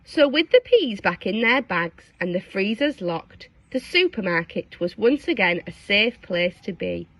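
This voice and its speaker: A woman reading a storybook aloud to young children, dramatic and expressive, engaging her listeners with questions. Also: medium pitch, measured pace, hardly any pauses, dry recording, poor audio. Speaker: storybook reader.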